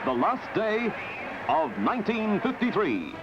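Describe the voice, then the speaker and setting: High-pitched, animated 1950s newsreel man. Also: measured pace, creaky, muffled recording. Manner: formal, dramatic announcer delivery. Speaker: newsreel narrator. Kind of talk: 1950s newsreel scripted narration. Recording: vintage 1950s newsreel soundtrack. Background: music.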